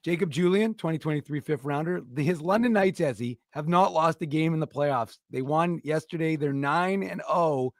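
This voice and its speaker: A man's voice: a little high, normal speed, hardly any pauses, no echo, clean studio sound. A man co-hosting a casual hockey talk show, rambling with lots of restarts. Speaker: podcast co-host.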